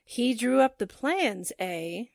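A woman's voice: fairly high pitch, creaky, a little mellow, dry recording, clean studio sound, exaggerated voice. An actress playing a spunky, wisecracking newspaperwoman sidekick in a 1940s radio drama, slangy and suspicious.

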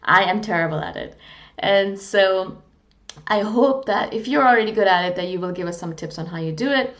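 A woman's voice: medium pitch, animated, moderate pace, fairly bright tone, muffled recording. A woman from India, talking in a candid, earnest, self-deprecating way in a casual, reflective monologue as a vlogger. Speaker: vlogger on personality types.

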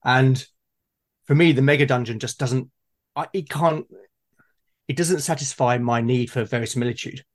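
Man, average pitch, conversational, hesitant, repeats words, reflective discussion. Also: moderate pace, long pauses. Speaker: tabletop gaming podcast speaker.

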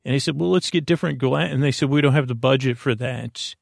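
A man hosting a sleep podcast, wandering through a silly, halting bedtime monologue full of restarts and asides. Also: medium pitch, creaky, dry recording, clean studio sound. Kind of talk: rambling, meandering bedtime monologue.

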